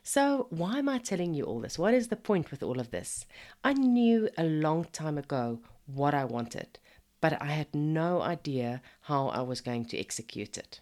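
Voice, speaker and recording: Podcast host, woman in her 50s, low and croaky from a cold, friendly pep-talk delivery. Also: very animated, moderate pace, a little mellow. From Zimbabwe. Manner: chatty and encouraging, addresses the listener as a friend. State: hoarse from a cold. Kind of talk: casual motivational podcast monologue.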